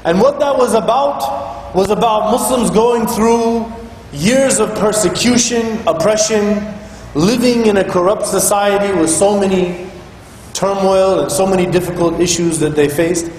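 A man's voice: high-pitched, a little slow, a little mellow, slight background noise. A man giving an earnest, explanatory sermon in a rented school hall used as a mosque, at times chanting scripture. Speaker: imam giving a Friday sermon. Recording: very echoey hall, faint ambience.

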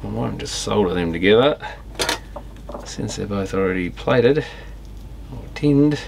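A man's voice: lowish voice, lively intonation, a little slow, creaky, darkish tone, slight background noise. A man giving casual, unscripted commentary as he tinkers with electronics, thinking aloud in fragments and trailing off. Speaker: electronics repairer and video host.

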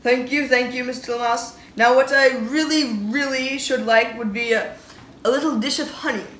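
A woman's voice: sits high, steady tone, moderate pace, a bit bright, pitched above the performer's own voice. A woman voicing a big, toothless, cheerfully pushy old male toad who wheedles and repeats himself. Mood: wheedling. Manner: wheedling, repetitive, smug. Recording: roomy with faint hiss.

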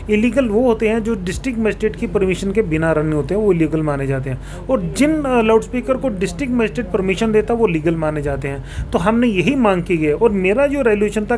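A man's voice: high voice, a little mellow. A man, answering formally as a local politician and explaining his points with English terms mixed in. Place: small indoor office, seated on a sofa. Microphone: handheld reporter mic held toward him. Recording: clear background room noise.

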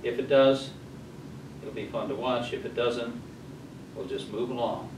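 A man's voice: mid-range voice, steady tone, measured pace. American man lecturing, echoey and distant. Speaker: conference speaker. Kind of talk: slide presentation lecture. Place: hotel conference room, indoors. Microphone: far camera. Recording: distant camera mic, echoey, noisy room.

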